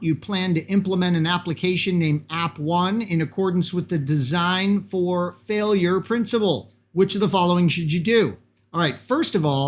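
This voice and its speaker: A man with a Massachusetts accent, chatting enthusiastically while he walks through practice exam software and reads the questions aloud. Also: fairly high pitch. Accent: Boston-area Massachusetts. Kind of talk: casual screen-recorded software walkthrough.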